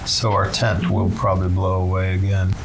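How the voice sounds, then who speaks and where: A man's voice: low-pitched, completely flat delivery, normal speed, gravelly, background noise. A man speaking in a dry, laid-back way.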